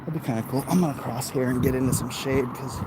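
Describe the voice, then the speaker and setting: Casting: American male, average pitch, informal rambling walk-and-talk livestreamer, recorded outdoors with noticeable urban street noise. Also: fast talker. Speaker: livestream host. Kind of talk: casual walking livestream chat. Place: outdoors, walking along neighborhood streets. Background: urban outdoor ambience, vehicles.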